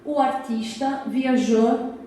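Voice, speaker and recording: American woman historian, Portuguese accent, English her first language, chatty public lecture, dark mellow voice, recorded far off in a very echoey hall. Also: on the high side, steady tone, slow, a little hiss or hum. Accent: Portuguese (Azorean family), American English. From United States, Massachusetts. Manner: warm, chatty, asks the audience questions, frequent "tá?" check-ins. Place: hall of a cultural society.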